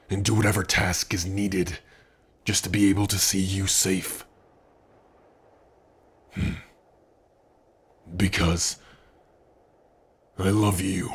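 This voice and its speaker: A man voicing a big, gentle orc who is a devoted lover, speaking tenderly and earnestly in an intimate ASMR romance roleplay, with a husky voice. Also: deep voice, heavily acted. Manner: tender, earnest, slow and breathy with sighs.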